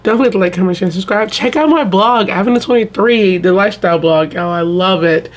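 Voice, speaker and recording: A woman in her mid-twenties from the American South, chatting casually to her viewers, full of "y'all" and quick restarts. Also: mid-range voice. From Southern United States. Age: 20s. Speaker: vlogger. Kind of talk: casual chat to camera.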